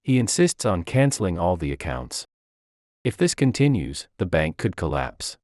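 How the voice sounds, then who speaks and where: A man's voice: low-pitched, big pitch swings, normal speed, darkish tone, dry recording, studio quality. A man voicing an alarmed, pleading middle-aged bank president in a narrated story. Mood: panicked.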